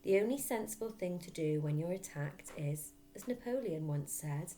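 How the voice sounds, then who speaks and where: A woman reading a children's story aloud as a teacher would, in an expressive narrating style. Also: on the low side, normal speed.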